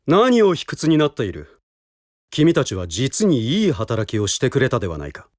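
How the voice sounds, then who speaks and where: A lofty, composed prosecutor, swooping intonation. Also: male voice, mid-range voice, wildly swooping pitch, no echo. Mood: lofty, approving. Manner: formal, measured, lofty. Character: composed, authoritative male prosecutor, formal and slyly knowing. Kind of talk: Japanese audio drama acting.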